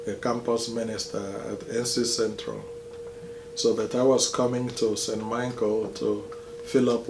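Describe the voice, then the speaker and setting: Slow, gravelly Nigerian priest. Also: male voice, lowish voice, darkish tone, roomy sound, slight background noise, rough recording. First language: Igbo. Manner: slow and hesitant, many pauses and fillers. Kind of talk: interview, telling his life story.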